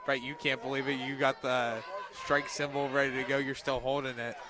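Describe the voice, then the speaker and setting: A young man doing live radio play-by-play of a softball game as the announcer. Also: medium pitch, flat delivery, fairly quick. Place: outdoors at a softball field. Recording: outdoor ballpark noise, crowd behind. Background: crowd and outdoor ambient noise.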